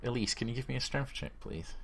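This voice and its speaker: A man's voice: mid-range voice, animated, fast, creaky, slight background noise. A man narrating a tabletop horror game as game master, in descriptive storytelling. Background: background music.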